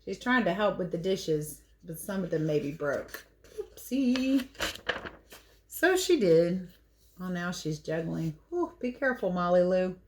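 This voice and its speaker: Animated woman teacher reading a storybook. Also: fairly low pitch, unhurried, a little hiss or hum. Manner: expressive, playful, chatty commentary on the pictures. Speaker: teacher reading a picture book to children. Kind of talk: animated read-aloud storytelling with casual asides.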